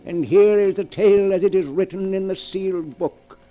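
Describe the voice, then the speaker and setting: An actor playing a sinister old keeper of dark tales in a melodramatic, ominous 1940s radio style. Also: male voice, high-pitched, faint music behind, lightly acted. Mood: ominous storytelling. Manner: slow, ominous, relishing, theatrical. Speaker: storyteller host of a radio mystery series. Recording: muffled 1940s radio recording.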